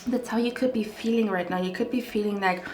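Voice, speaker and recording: A woman's voice: mid-range voice, steady tone, fairly quick, music in the background. A woman giving a casual pick-a-card tarot reading to camera, rambling with many fillers and asking "okay?" after her phrases. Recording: echoey room.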